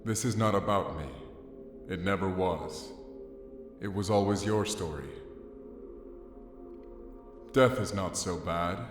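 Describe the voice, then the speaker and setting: A man playing a solemn dead man lingering as a haunting memory in an audio drama, speaking deliberately and repetitively in a cavernous echo. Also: low-pitched, noisy background. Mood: solemn, gentle. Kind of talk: cinematic audio drama monologue. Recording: echoey with long cavernous reverb.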